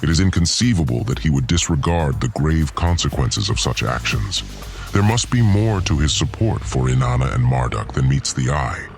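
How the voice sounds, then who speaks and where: A man performs a deep-voiced divine villain, a vengeful lord full of disdain. The delivery is a pompous, formal audio drama monologue with open menace, and music plays prominently underneath. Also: animated, normal speed, gravelly, full character voice. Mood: indignant, scornful. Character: vengeful, disdainful male god lord plotting against humans.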